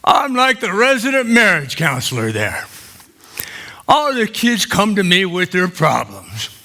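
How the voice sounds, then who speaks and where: Cheeky old man in his nineties, played by a male actor, stage monologue: slow, bright, chatty and tender. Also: high voice, huge pitch swings, heavily acted. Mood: wry, folksy. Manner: unhurried storytelling with pauses for laughs. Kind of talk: theatrical comic monologue on stage.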